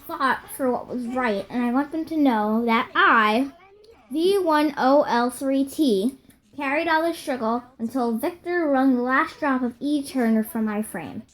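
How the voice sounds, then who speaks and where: A woman's voice: high-pitched, unhurried, low-quality recording. A woman voicing an earnest female rebel robot, solemnly reading dramatic logs of an uprising. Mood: solemn, defiant. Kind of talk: scripted dramatic log narration. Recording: music behind the voice.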